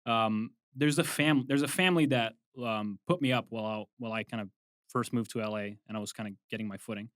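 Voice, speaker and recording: A young adult man from Brazil, a guest film composer, telling his story in a relaxed, rambling interview style with frequent restarts. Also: fairly low pitch, quick pace, no echo. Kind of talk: casual interview chat.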